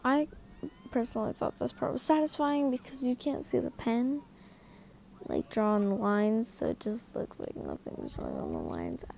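A woman's voice: on the high side, big pitch swings, moderate pace, gravelly, darkish tone, a little hiss or hum. A tired young woman giving casual voice-over narration for her drawing video, rambling with lots of fillers and apologies, with the mic right next to her mouth.

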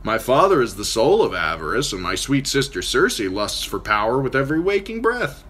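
A man's voice: medium pitch, big pitch swings, a little fast, a bit bright, slightly rough, voice pushed up. A man voicing a witty, mocking dwarf lord in an audiobook reading, with an arch, theatrical manner.

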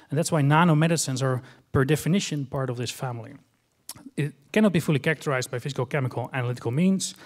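A middle-aged man with a strong Dutch accent, giving a conference talk from a stage into a handheld microphone, explaining in a measured way with frequent fillers. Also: medium pitch, darkish tone. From Netherlands. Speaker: conference speaker. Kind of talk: conference presentation. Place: large conference hall stage.

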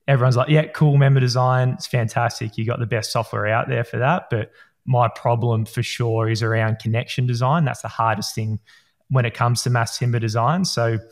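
Man explaining his product with energy. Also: medium pitch. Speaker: software co-founder, guest. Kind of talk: business explanation. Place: home office with bookshelves. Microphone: podcast mic on arm, video call.

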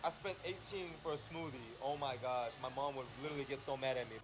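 A young man chatting in an animated, slangy way for a vlog out in busy public places. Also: medium pitch, quick pace, low-quality recording. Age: young adult. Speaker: vlogger. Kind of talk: casual travel vlog chat. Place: busy stores and outdoor tourist spots.

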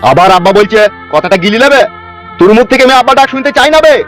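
Guy doing hot-tempered cartoon dad, high voice, crazy fast scolding, music under it. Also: expressive, fairly bright tone. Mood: furious. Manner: ranting, scolding, exaggerated. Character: furious, foul-mouthed protective village father. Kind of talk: melodramatic comedy cartoon dubbing.

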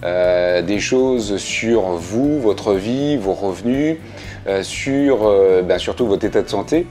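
A man's voice: on the low side, lively intonation, takes it slowly, mellow, faint music behind. A man in his fifties, seated in his living room, explaining things to camera in a chatty, plainspoken way with frequent fillers. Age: 50s. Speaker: video creator. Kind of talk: casual explainer video.

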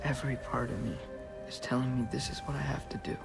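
An actor playing an earnest, sensitive teenage boy warrior in a video game, soft-spoken and hesitant. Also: medium pitch, fast, vocal fry. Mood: sincere, conflicted. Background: music.

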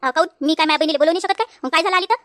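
A woman's voice: very high-pitched, racing, pushed and bright, no echo, clean studio sound. A woman voicing a bossy, nagging village wife in a comic cartoon, curt and demanding, with a rural Varhadi Marathi accent. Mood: nagging, impatient. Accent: rural Maharashtra, Varhadi. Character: bossy, nagging village wife ordering her husband about.

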